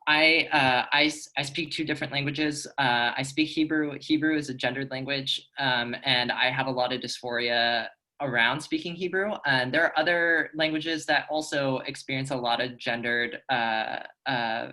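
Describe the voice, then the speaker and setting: A man with a bright, forceful voice at an ordinary pitch moderates a panel. He talks off the cuff, articulate and earnest, often pausing to find the next phrase. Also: fairly even intonation. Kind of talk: panel discussion, speaking off the cuff.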